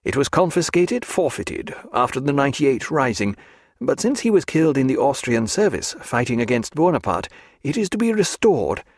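A man doing a measured, formal gentleman. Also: medium pitch, very animated, no room sound, clean studio sound. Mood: earnest.

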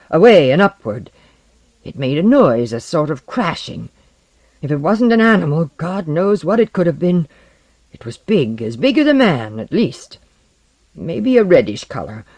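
A narrator voicing a frightened, rattled male scientist who talks in breathless, halting, emphatic bursts. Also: mid-range voice, vocal fry, mellow, breathy edge, heavily acted.

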